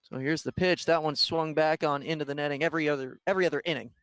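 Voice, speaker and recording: A man with a high voice commentates live on a softball game as the play-by-play announcer. He follows every pitch in detail, and the sound is dry and close. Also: steady tone, fast, clean tone, no room sound.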